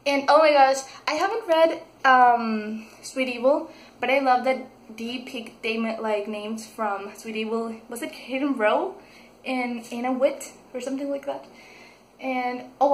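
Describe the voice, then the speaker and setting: A young woman from Mexico, reviewing a book in a casual vlog, gushing and excitable with many fillers and restarts. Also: on the high side, slight background noise. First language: Spanish. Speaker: book vlogger. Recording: echoey room.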